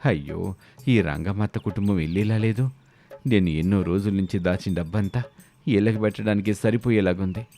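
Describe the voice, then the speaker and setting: A put-upon village man played by a male storyteller grumbles in colloquial speech for a cartoon moral tale. His voice sits fairly low, with music behind it. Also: moderate pace, mellow, natural voice. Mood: worried grumbling. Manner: colloquial, complaining. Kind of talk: animated moral-story dialogue.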